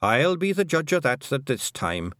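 A man's voice: medium pitch, very animated, normal speed, fairly bright tone, no echo, studio quality, voice pushed up. A man voicing a tiny, dying old fairy clan mother, bossy and shrewd, in a lilting rural brogue. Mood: weary, wry. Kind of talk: audiobook character voice.

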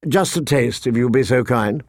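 A man narrating a fantasy audiobook, voicing a young princess who is courteous, formal and quietly commanding. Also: medium pitch, normal speed, dry recording, clean studio sound, lightly acted. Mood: gracious, composed. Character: young princess, gracious, proud and softly commanding.

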